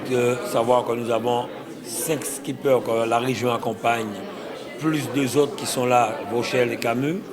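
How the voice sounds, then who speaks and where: Confident regional official, crowd behind. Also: male voice, mid-range voice. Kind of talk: press statement. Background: crowd chatter.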